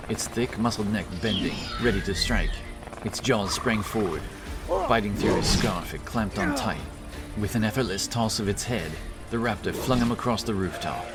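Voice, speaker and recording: A man narrating a fantasy battle story in a dramatic, scripted storytelling style. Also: fairly low pitch. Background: cinematic action music.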